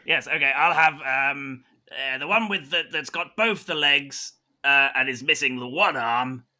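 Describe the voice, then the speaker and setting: A man playing an over-the-top heroic surgeon in a comic roleplay, bombastic and exclamatory, with a raspy, forceful voice. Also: fairly high pitch, measured pace. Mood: brisk, commanding.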